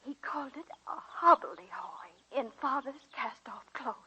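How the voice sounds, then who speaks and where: An actress playing a proud, warm-hearted, stubborn aging woman who teaches college English, in the style of 1940s radio drama. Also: sits high, expressive, normal speed, heavily acted. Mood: aggrieved. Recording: dull, husky 1940s radio broadcast.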